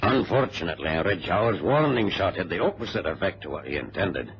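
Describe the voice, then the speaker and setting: A man's voice: sits low, expressive, fairly quick. A man playing a cautious, conscientious adventurer in an old radio drama, in a dramatic style. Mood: grave narrating. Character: cautious, conscientious male adventurer recounting his exploit. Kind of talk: dramatic radio narration and dialogue. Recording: muffled vintage radio recording, faint noise.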